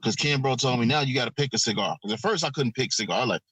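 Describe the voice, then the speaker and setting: A man's voice: mid-range voice, rapid-fire, fairly bright tone, breathy edge, no echo, poor audio. A man hosting a cigar podcast, talking in a slangy, easygoing way. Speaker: podcast host. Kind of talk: casual chat.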